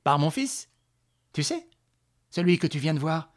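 A man voicing a vengeful, menacing woman with a withered face, hoarse and halting, in an audiobook story. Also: fairly high pitch, big pitch swings, voice pushed up. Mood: scornful menace. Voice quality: hoarse, rasping. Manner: halting, jerky, scanning her words. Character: hate-filled withered blonde villainess, vengeful and menacing.

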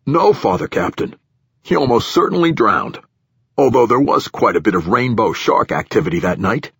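A man's voice: medium pitch, very animated, normal speed, vocal fry, fairly bright tone, slightly rough, no room sound, clean studio sound, voice pushed up, heavily acted. A man voicing a flustered, defensive male station director in an audiobook, deferential and hedging with folksy phrasing. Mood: defensive, formal. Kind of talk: audiobook character dialogue.

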